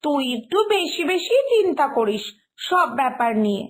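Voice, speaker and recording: Woman playing a high-voiced, chiding elder sister-in-law. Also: big pitch swings, normal speed. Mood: reassuring. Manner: chiding, reassuring. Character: sensible, gently scolding elder sister-in-law. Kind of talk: Bengali animated moral story dialogue. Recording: music behind the voice.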